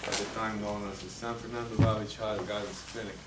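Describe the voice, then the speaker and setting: A man in his sixties, a native Spanish speaker with a Spanish accent, lecturing lawyers as a psychologist in a soft, hesitant way with many fillers. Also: fairly low pitch, level tone, a little fast. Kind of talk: continuing-education lecture. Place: meeting room. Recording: echoey room, mic far off, noisy.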